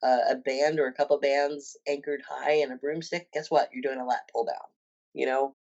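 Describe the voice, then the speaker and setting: A woman's voice: extremely low pitch, level tone, moderate pace, a little mellow, highs cut off. A woman in her fifties, a personal trainer and bodybuilder, talking casually as a podcast guest with many ums and restarts. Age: 50s.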